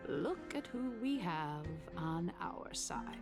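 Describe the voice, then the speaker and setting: Grandiose council elder, music behind. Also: female voice, on the low side, sing-song, takes it slowly. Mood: grand, persuasive. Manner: grandiose, formal oratory. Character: proud, persuasive female council elder in a fantasy game. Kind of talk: scripted fantasy game acting.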